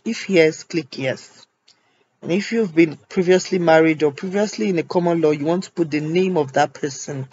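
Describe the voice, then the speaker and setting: A man talks a viewer through an online form one field at a time, patient and methodical. His voice sits a little high, and he often pauses with "um". The voice-over has a dull, lo-fi sound. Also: a little mellow. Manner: patient step-by-step explaining with frequent ums. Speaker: tutorial host. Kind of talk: screen-recorded form walkthrough. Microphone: voice-over on a screen recording.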